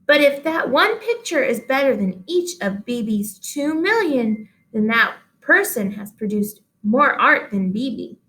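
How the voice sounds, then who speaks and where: A woman reading the part of a brisk schoolteacher in a storybook, emphatic and instructive. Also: a little high, sing-song, a bit bright, low-quality recording. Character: brisk woman schoolteacher, questioning and correcting pupils.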